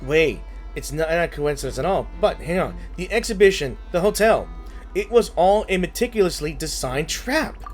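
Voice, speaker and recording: Higher-pitched man giving animated game commentary. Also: normal speed, faint music behind. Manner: informal, interjecting, thinking aloud. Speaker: gaming video host. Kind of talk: casual game commentary, reasoning aloud.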